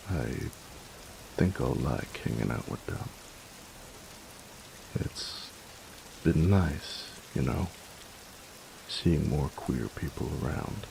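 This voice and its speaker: Man acting a very deep-voiced, teasing bully boyfriend. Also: vocal fry, a little mellow, slight background noise, full character voice, poor audio. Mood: reflective, tender. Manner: slangy, teasing, halting when sincere. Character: gruff, teasing young bully turned affectionate boyfriend. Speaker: voice actor. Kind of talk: intimate boyfriend roleplay audio drama.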